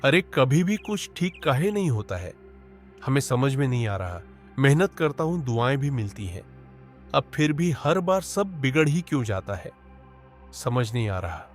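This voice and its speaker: A man's voice: medium pitch, big pitch swings, normal speed, faint music behind, natural voice. A man voicing a poor, hardworking young village man who speaks in rustic village speech and exclaims often, in a cartoon story. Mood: fretful.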